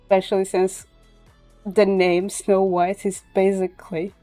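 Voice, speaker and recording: Woman livestreamer, native Portuguese speaker. Relaxed, dark-toned voice, casual and sometimes halting delivery, faint music underneath. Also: medium pitch, dry recording. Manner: halting, searches for English words. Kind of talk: casual chat. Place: indoor livestream with music behind.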